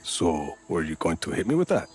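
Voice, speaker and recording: A man's voice: sits low, sing-song, normal speed, slightly rough, full character voice. A man playing a confident, cool-headed rebel leader in a video game, speaking tersely. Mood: cool, wry. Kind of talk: video game dialogue acting.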